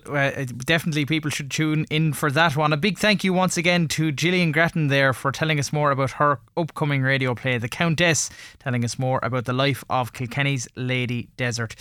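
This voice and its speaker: An Irish man speaks as a radio host and interviewer. His voice sits at an average male pitch, and his phrasing is polished and formal, as a presenter's is. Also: sharp tone, clean tone.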